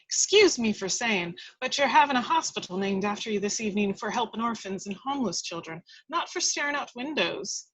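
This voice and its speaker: A woman's voice: on the high side, animated, fairly quick, few pauses, fairly bright tone, slightly rough, rough recording. A woman voicing a chatty, motherly Irish housekeeper who fusses and bustles in a screenplay reading. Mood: fussing.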